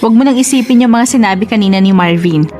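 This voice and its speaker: Casting: woman as supportive Filipina older sister, radio drama, mid-range voice, confident and conversational, soft music behind. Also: mid-range voice, fast talker, lightly acted. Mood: reassuring, concerned. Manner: encouraging, conversational, English-peppered. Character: confident, supportive older-sister business partner, brisk and reassuring.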